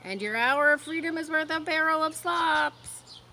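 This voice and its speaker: A woman's voice: high voice, measured pace, sharp tone, a little hiss or hum, voice pushed up. A woman voicing a bossy, urgent storybook farmyard goose who repeats her phrases in exclamations. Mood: warning. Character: bossy, frantic farmyard goose urging a piglet on. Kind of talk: animated storybook character reading.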